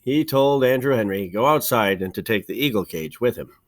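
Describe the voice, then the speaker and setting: A man reading a children's storybook aloud like a teacher, with deliberate phrasing, dramatic pauses and emphasis. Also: medium pitch, lively intonation. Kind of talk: expressive children's storybook narration.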